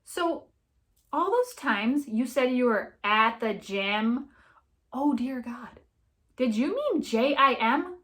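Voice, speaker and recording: A woman's voice: a little high, lively intonation, takes it slowly, fairly bright tone, pitched above the performer's own voice. Woman acting an indignant, incredulous jilted young woman. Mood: exasperated ranting. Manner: exasperated, emphatic, stammering disbelief.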